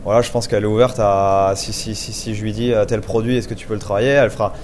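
A man's voice: lowish voice, level tone, quick pace, a little mellow, a little hiss or hum. A man in his twenties, a chef from Paris, answering interview questions with many fillers and restarts. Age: 20s.

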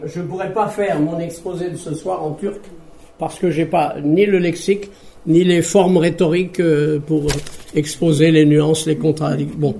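A man from France giving a measured, reflective public talk to an audience. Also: a little high, creaky, warm tone. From France, Paris suburbs. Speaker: speaker giving an evening talk. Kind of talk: public talk on bilingual education.

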